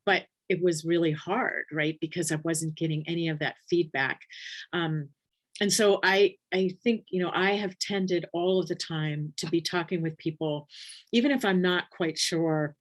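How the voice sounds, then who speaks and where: A woman with a very low voice, teaching a nursing webinar in a casual, thinking-aloud way with many ums and restarts. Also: fairly even intonation, moderate pace. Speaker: palliative care nurse educator. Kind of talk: casual webinar teaching, reading chat questions.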